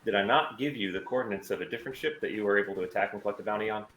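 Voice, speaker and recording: A man's voice: lowish voice, rapid-fire, fairly bright tone, lightly acted. A man playing a confident, evasive mercenary pilot with a cool, clipped delivery in a sci-fi table read. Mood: guarded. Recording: roomy echo with noticeable background noise.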